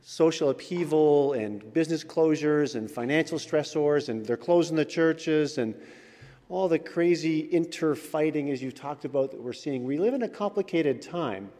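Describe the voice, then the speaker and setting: A man's voice: on the high side, moderate pace, mellow. A man, a church elder, giving an informal sermon with stories in a chatty way with frequent fillers. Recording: reverberant church hall.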